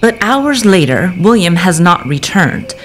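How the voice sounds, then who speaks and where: A storyteller for a Victorian gothic audio drama, voiced by a woman, low in pitch for a female voice and highly animated. She reads scripted narration over faint background ambience. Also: unhurried, a little hiss or hum. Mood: scene-setting.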